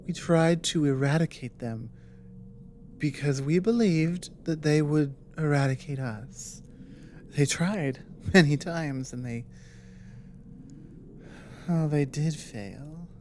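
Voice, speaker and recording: A woman plays a grave female dragon who has survived a long war. She speaks slowly in a mellow tone, earnest and halting, leaving dramatic pauses. It is an ASMR audio drama with clear background noise. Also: low-pitched. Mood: haunted, distressed.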